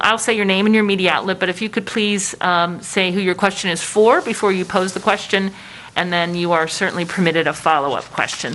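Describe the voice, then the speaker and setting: A woman's voice: lowish voice, a bit bright, a little hiss or hum, rough recording. An American woman politely moderating reporters' questions on a video call in a businesslike way. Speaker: press conference moderator.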